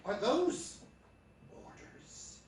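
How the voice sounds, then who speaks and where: A man's voice: very slow, a little mellow. A man playing a hot-tempered, sputtering middle-aged father and businessman in a live stage radio play. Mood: sullen. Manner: sputtering, exasperated. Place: theatre stage, live performance. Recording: echoey stage hall from far off.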